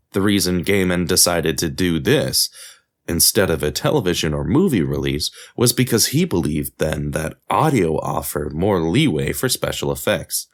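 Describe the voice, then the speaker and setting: A man narrating a scripted documentary podcast as its host. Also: low-pitched.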